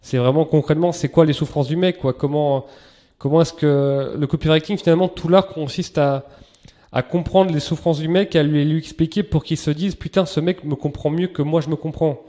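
A man, a guest in a casual podcast interview, talking in a relaxed, chatty way with slang and many restarts. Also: fairly high pitch, a little fast.

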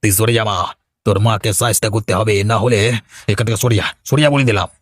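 A man's voice: fairly low pitch, fast talker, lightly acted. A man voicing a violent, drunken village husband in a cartoon, aggressive and threatening. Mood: furious bullying. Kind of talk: cartoon drama voice acting.

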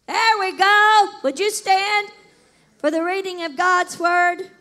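An older woman worship pastor, leading a scripture reading and prayer in a church sanctuary with a loud, fervent voice on a handheld mic. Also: extremely high pitch, takes it slowly.

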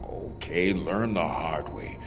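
A man's voice: low voice, slow, in a large hall, over music. An actor voicing a blunt, commanding, protective man leading zombie apocalypse survivors in a dramatic video game. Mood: resigned, gruff. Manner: blunt, commanding, street slang.

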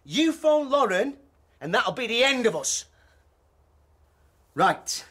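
An actor playing an evasive, controlling estranged husband in a modern radio drama, hesitant and pleading, then sharply ordering. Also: male voice, extremely high pitch, sing-song, slow, a bit bright, slightly rough, full character voice. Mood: menacing.

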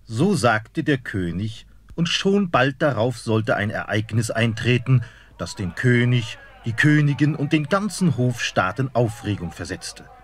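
A man narrating a fairy tale in a measured storytelling manner. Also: medium pitch, animated.

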